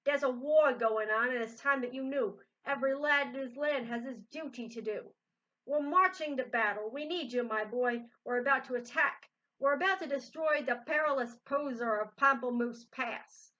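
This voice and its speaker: A woman's voice: sits high, lively intonation, brisk, distant mic, pitched above the performer's own voice. A woman voicing a pompous male army general who bellows his orders. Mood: commanding. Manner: loud commanding declarations. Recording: dull lo-fi recording.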